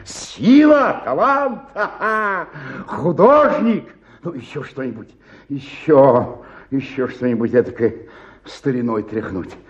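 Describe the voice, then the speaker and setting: An actor playing an older, self-pitying tragic stage actor in a radio play, declaiming theatrically and swinging from murmur to roar. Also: male voice, medium pitch, lively intonation, takes it slowly, highs cut off. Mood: exhilarated.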